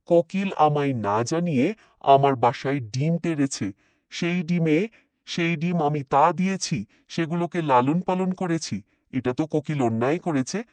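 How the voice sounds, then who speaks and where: A woman's voice: sits low, measured pace, darkish tone, no room sound, pitched below the performer's own voice. A woman voicing a proud, bossy, indignant crow king in a children's cartoon story. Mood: aggrieved. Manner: haughty, scolding.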